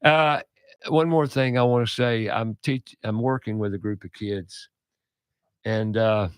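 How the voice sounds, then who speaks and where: A man hosting a radio talk show, speaking in a loud, passionate, preachy way. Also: lowish voice, steady tone, unhurried, clear, resonant tone, no echo.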